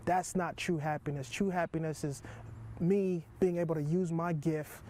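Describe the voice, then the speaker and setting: A man's voice: a little high, steady tone, normal speed, mellow, slight background noise. A young man from Haiti speaking earnestly and reflectively in an interview. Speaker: interviewee, athlete.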